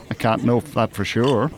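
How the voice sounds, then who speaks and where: A man's voice: mid-range voice, slow, a faint crowd, natural voice. An actor playing a wry, worldly, cynical older man with an Irish accent in a naturalistic radio drama. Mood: cynical, explaining. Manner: dry, teasing, opinionated.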